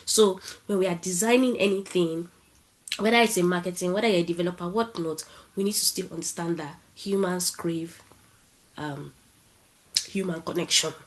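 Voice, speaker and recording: A woman's voice: fairly low pitch, fairly even intonation, moderate pace, slight background noise, rough recording. A woman with a Nigerian accent who has a cold, giving a casual talk at an online tech event over a video call and asking the audience questions. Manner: chatty, asks the audience questions.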